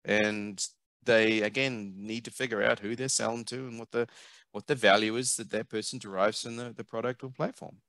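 A middle-aged man with a New Zealand accent, a marketing consultant speaking as an interview guest over a headset on a video call, animated and emphatic, often repeating words as he thinks. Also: fairly low pitch, normal speed, no echo. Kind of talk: conversational interview. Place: home office, video call. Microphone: headset mic.

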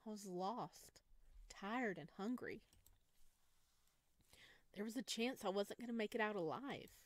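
Woman, visual novel narration, expressive storytelling, close microphone, very little room sound. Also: medium pitch, a little slow, no room sound. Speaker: streamer reading a visual novel aloud.

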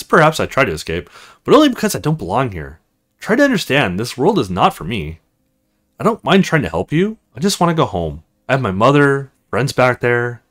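A man's voice: medium pitch, fairly bright tone. A man voicing an earnest young man far from home in a visual novel, candid and polite.

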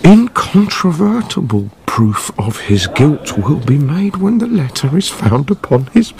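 A jealous young fisherman in a radio drama. He is impassioned and quick to flare up, his pitch swinging widely, with tavern chatter around him. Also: sing-song, gravelly, heavily acted. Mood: intent. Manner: impassioned, volatile. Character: jealous, lovesick, hot-headed young Catalan fisherman.

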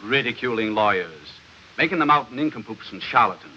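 A middle-aged actor playing an indignant, domineering company boss and lawyer in a 1940s comedy film. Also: male voice, on the low side, lively intonation, brisk, sharp tone. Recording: muffled 1940s film soundtrack, noisy hiss.

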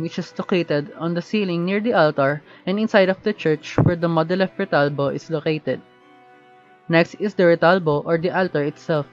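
A young man narrating a scripted student presentation. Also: high-pitched. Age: young adult. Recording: dull lo-fi recording under music. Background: background music.

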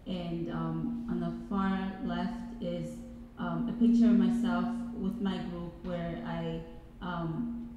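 A woman's voice: on the low side, flat delivery, slow, warm tone, highs cut off. A young woman whose first language is Swahili, speaking hesitantly with many fillers on a student panel into a handheld mic in a large hall. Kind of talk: panel presentation. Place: large reverberant college hall. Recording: echoey hall, music behind.

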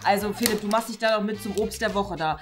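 A woman's voice: mid-range voice, fast talker, over music, lightly acted. A woman playing a grumpy, strict killjoy teacher in an improvised comedy sketch, nagging and rambling with indignation. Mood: indignant.

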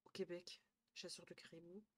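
A woman's voice: fairly low pitch, little pitch movement, normal speed. Tired young woman on her stream, headset on, rambling to chat, swearing a bit, mumbling under her breath sometimes. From northern France. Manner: chatty, swears casually, thinks aloud, drops to murmurs. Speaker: Twitch streamer. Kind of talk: casual live-stream chat with viewers. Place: small room at home, indoors.

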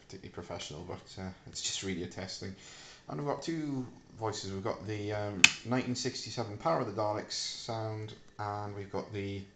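A middle-aged British man casually demonstrating a homemade device in a hesitant, halting, unscripted way. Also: fairly low pitch. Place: small hard-walled room indoors. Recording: echoey room, clicks and faint hiss.

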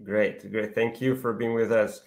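A man's voice: on the low side, flat delivery, a little slow. A man in his thirties hosting a video call interview, asking friendly questions with fillers. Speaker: host. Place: home room, indoors. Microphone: laptop webcam on video call.

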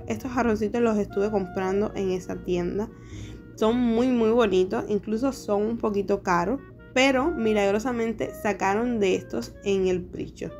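Relaxed young woman talking through her decor vlog, mid pitch, easygoing fillers. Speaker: home decor vlogger. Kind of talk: casual voice-over vlog narration. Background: soft background music.

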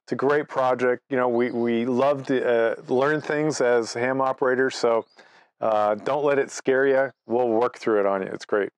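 A man's voice: mid-range voice, steady tone, moderate pace, no room sound. A man, giving an informal, conversational instructional talk with step-by-step examples. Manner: conversational, explains through step-by-step examples and anecdotes. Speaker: presenter, amateur radio operator. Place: outdoors in front of leafy trees.

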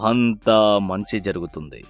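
A man voicing a sly village holy man in an animated moral story, measured, solemn and admonishing. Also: on the low side, dry recording, faint music behind. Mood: commanding.

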